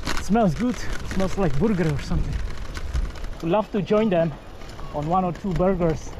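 A man's voice: high voice, background noise. A young man vlogging a cycling trip, chatting casually to an action camera on his handlebars and while walking through a supermarket, in plainspoken English. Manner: chatty, plainspoken, non-native English phrasing. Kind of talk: casual travel vlog with voice-over narration. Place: outdoors on a bike path and inside a supermarket. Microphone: action camera on the handlebars and handheld.

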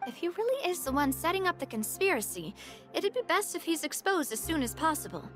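Genteel young female leader for a game: confident, polite, high voice with animated swings. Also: brisk, soft background music, heavily acted. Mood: concerned, practical. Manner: poised, polite, assured. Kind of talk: video game character acting.

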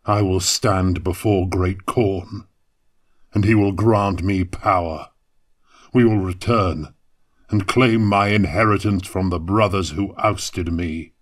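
An actor playing a grim, ambitious warrior who craves godhood and vengeance, solemn and portentous, in an audio drama. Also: male voice, sits low, fairly even intonation. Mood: fervent, vengeful. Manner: slow, solemn, portentous delivery. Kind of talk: dramatic audio fiction acting.

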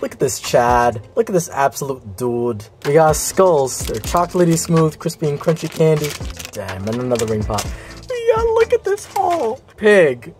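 A young man talking through an unboxing in a casual, excitable way. His voice swings widely in pitch, from low mutters to high exclamations, and he swears freely as he goes. A faint bit of music sits under him. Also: moderate pace. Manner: excitable, swearing, laughing often. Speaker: audio creator unboxing a gift package. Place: indoors at a desk.

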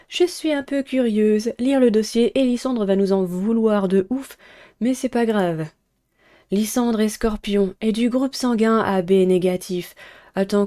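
A woman's voice: medium pitch, mellow. A young woman, casually commenting on a game and reading its text aloud. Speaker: streamer playing a visual novel.

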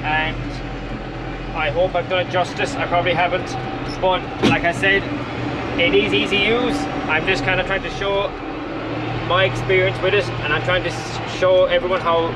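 Young Irish farmer over loud engine. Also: male voice, sits high, level tone, normal speed. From Ireland. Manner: rambling, self-correcting, plain-spoken. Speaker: farmer vlogger. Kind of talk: casual chat to camera. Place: inside a tractor cab in a field. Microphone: action camera mounted in the cab. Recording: loud engine noise in cab. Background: loud tractor engine.

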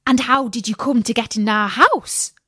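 A woman's voice: fairly high pitch, moderate pace, a bit bright, slightly rough, dry recording, clean studio sound. A woman voicing fussy, kindly little male dwarfs in an exclaiming manner for a children's storybook. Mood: kindly, earnest. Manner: exclaiming, questioning.

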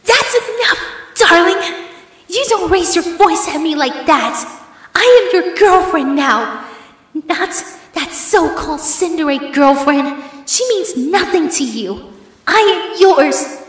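A woman's voice: very high-pitched, animated, heavily acted. A woman playing a possessive, obsessive yandere ex-girlfriend in a horror ASMR roleplay, cooing endearments with menace. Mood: angry, jealous. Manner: slow, drawn-out, cooing endearments turning threatening. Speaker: audio roleplay performer. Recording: echoey reverberant hall effect.

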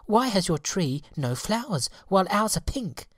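A woman's voice: medium pitch, very animated, moderate pace, dry recording, pitched above the performer's own voice. A busy young storybook fairy, voiced by a woman, earnest and concerned. The voice is raised well up and lilts about in a dry recording. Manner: questioning, earnest. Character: young sister fairies, busy, concerned and helpful.

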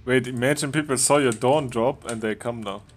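A man casually chatting with a friend while they play a game together, relaxed and joking, laughing now and then. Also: medium pitch, brisk, faint music behind. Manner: relaxed, joking, chuckles often. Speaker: game streamer. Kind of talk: casual gaming chat with a friend.

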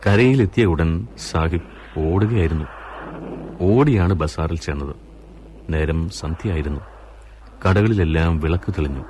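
A man narrating a radio story in a measured, scripted storytelling delivery. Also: low-pitched, warm tone, a little hiss or hum.

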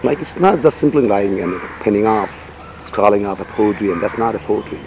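A middle-aged man whose first language is Nepali, answering interview questions about his writing in a measured, reflective way with many "you know" fillers, seated outdoors in a garden. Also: on the low side, expressive. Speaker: poet and writer, interview guest. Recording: outdoor recording with noticeable background noise.

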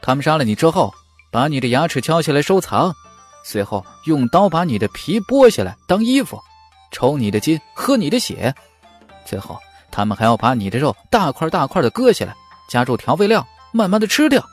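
A man voicing a quick-witted young martial hero who talks in a lively, urgent, bossy way. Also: fairly high pitch, faint music behind, pitched above the performer's own voice. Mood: threatening.

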